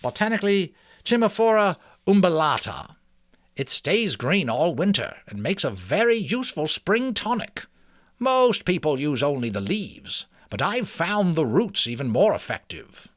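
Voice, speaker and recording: A man's voice: fairly high pitch, big pitch swings, measured pace, fairly bright tone, dry recording, clean studio sound, voice pushed up. A man voicing a very old, wise mouse healer in a storybook reading, speaking precisely and emphatically like an authoritative doctor. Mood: brisk, instructive. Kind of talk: dramatic storybook character reading.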